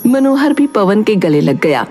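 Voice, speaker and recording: A woman's voice: medium pitch, very animated, unhurried, warm tone, soft background music. A woman giving scripted narration for a dramatic story.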